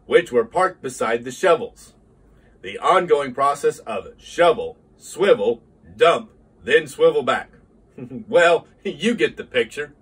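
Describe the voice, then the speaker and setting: A man's voice: medium pitch, a bit bright, breathy edge. A middle-aged man hosting a toy model channel, moving from scripted history narration into an enthusiastic, casual product review. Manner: enthusiastic, emphatic repetitions.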